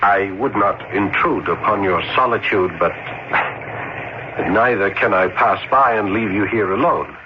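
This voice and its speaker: Actor as low-voiced, gallant young student. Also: male voice, measured pace, background noise, natural voice. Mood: gentle, pitying. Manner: courtly, tender, florid and dramatic. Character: earnest, gallant, poor young male student in revolutionary Paris. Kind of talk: old radio drama acting and narration. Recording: muffled old radio broadcast.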